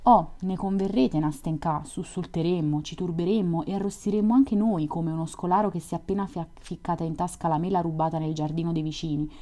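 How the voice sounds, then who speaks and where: A woman's voice: fairly low pitch, fairly even intonation, fairly quick, few pauses, warm tone, close to an everyday voice. A woman voicing a lonely, melancholy young male dreamer who pleads and confesses in fervent outpourings, read from a storybook. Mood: fervent lament.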